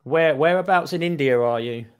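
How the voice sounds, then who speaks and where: A man's voice: a little high, normal speed, clean tone. Chatty British man on a headset. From United Kingdom. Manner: chatty, repeats words when starting a thought. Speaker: online English tutor. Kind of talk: casual conversation lesson. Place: small room at home. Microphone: headset, video call.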